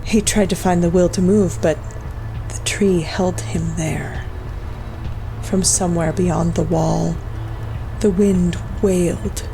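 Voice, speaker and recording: A woman reading a dark folk-horror story as scripted narration, in a solemn, measured storytelling manner. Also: on the low side, steady tone, creaky, over music, poor audio.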